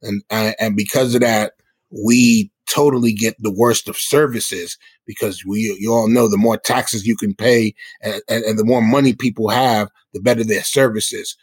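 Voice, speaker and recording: A man's voice: on the low side, steady tone, normal speed, husky, no room sound. Earnest man, dry sound. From South Bronx, New York City. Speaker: hip hop musician and guest. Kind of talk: conversational interview answers.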